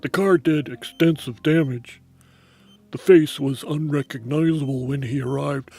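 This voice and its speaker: A man playing a formal, somewhat hesitant hospital doctor in an amateur audio drama, with a gravelly, husky voice. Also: a little high, warm tone, heavily acted. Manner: formal, clinical, halting.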